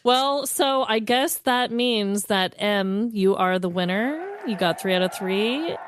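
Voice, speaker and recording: A woman's voice: medium pitch, a little slow, background noise. A woman hosting a comedy podcast in a casual, chatty style. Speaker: podcast host.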